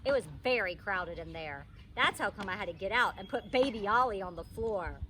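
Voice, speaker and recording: A woman teacher reading a children's storybook aloud in an expressive, childlike first-person narration. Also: medium pitch, normal speed. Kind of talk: animated storybook read-aloud. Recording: distant microphone, faint background noise.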